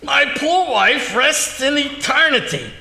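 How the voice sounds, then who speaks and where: A man's voice: very high-pitched, lively intonation, slow, gravelly, pushed and bright, exaggerated voice. An actor playing a stern, demanding, wealthy old man in an imperious, curt theatrical manner. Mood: stern, dismissive. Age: older. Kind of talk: theatrical stage acting. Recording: echoey theatre hall, long reverb.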